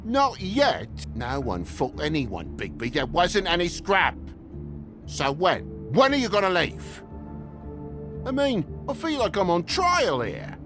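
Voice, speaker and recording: An actor with a British accent voicing an aggrieved, slangy middle-aged toad, a shifty dad in a video game, whining and indignant. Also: male voice, high-pitched, huge pitch swings, slow, over music, full character voice. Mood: exasperated pleading. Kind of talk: dramatic video game dialogue.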